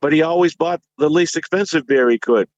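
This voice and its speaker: A plain-spoken man answering interview questions in a calm way. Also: fairly high pitch, fairly quick, no room sound, far from the mic, muffled recording. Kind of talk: recorded interview.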